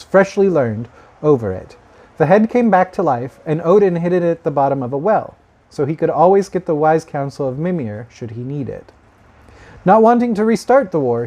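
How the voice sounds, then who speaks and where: A man's voice: a little high, warm tone. A man telling myths as a storyteller in an informal, conversational way, with wry asides.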